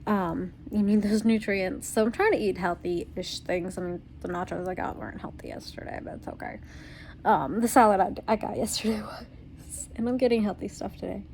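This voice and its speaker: A woman's voice: medium pitch, normal speed, vocal fry, darkish tone, slight background noise. Tired, congested young woman vlogging. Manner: rambling, with frequent ums and small laughs. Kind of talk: casual video diary.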